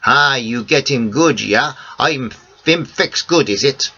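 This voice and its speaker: A man voicing an old cafe owner, friendly and excitable, speaking broken English with lots of "ah" and "eh". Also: mid-range voice, slow pace, slightly rough, heavily acted. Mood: eager. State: a bit out of breath. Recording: dull lo-fi recording.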